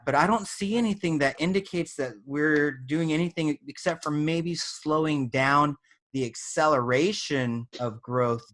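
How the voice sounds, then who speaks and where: A man's voice: mid-range voice, a bit bright. A man hosting an interview show, chatting casually and asking questions in an informal, curious way. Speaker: podcast host.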